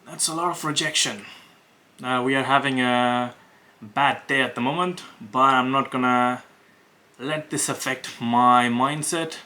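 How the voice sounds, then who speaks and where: A young man with an Indian accent, talking casually to camera and pitching on sales calls, hesitant with many fillers and restarts. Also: a little slow, sharp tone. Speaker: web design agency owner making cold calls.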